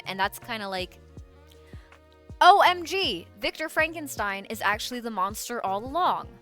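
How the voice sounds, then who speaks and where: A woman streamer chats loosely on a livestream, circling back and restarting her sentences. Her voice is bright and sits at a middle pitch. Faint music plays underneath. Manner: rambling, self-interrupting, restarts a lot. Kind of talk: casual livestream chat.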